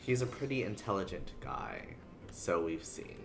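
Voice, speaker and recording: A woman's voice: extremely low pitch, big pitch swings, normal speed, vocal fry, over music. A woman livestreaming a game, musing and reacting aloud in a casual way. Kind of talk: casual game stream commentary.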